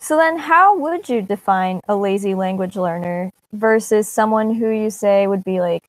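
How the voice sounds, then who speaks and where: A woman's voice: medium pitch, a little mellow, no echo, poor audio. A young adult woman hosting a casual interview, asking friendly, upbeat questions. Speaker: host.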